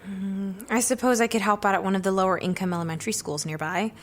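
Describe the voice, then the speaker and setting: A woman's voice: medium pitch, steady tone, fast talker, slight background noise, natural voice. A young woman playing an earnest, self-doubting drama student in an audio drama.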